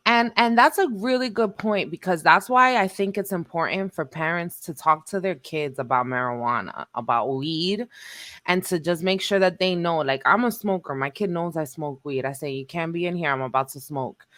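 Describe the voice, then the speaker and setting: A woman's voice: lowish voice, moderate pace, fairly bright tone, no echo. A woman, chatting animatedly and talkatively as a podcast guest. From New York. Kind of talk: casual chat.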